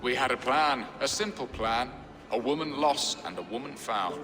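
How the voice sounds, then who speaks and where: A man's voice: mid-range voice, expressive, normal speed, sharp tone. An actor playing a wry, middle-aged nobleman in a video game, with a theatrical, sardonic, flowery way of speaking. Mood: wistful, wry. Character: wry, florid middle-aged English nobleman, weary husband. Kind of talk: video game cutscene acting. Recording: long hall reverb, music behind.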